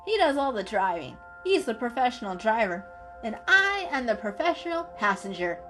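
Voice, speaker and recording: A woman's voice: a little high, sing-song, moderate pace, sharp tone, faint music behind, voice pushed up. A woman voicing a friendly, carefree travelling cat in a children's story, chatty, upbeat and emphatic. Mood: chatty, proud.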